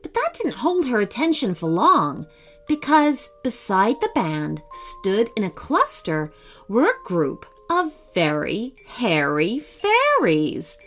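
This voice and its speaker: Woman storyteller, children's bedtime narration, slow pace, animated pitch, expressive, soft music underneath. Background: faint music.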